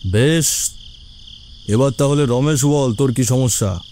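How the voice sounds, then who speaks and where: Man voicing a stern, mellow village headman. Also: medium pitch, slight background noise. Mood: curt, restrained. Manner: commanding, condescending, drawn-out hums. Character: stern village headman, self-righteous, restrained anger, dismissive. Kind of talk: cartoon voice acting.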